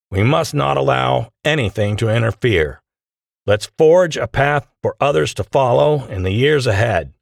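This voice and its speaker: An imposing, charismatic man of about forty with a transatlantic accent, as a male narrator plays him. His solemn audiobook oratory is grand and measured, with raised, sweeping pitch and weighty pauses. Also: vocal fry, no room sound, clean studio sound.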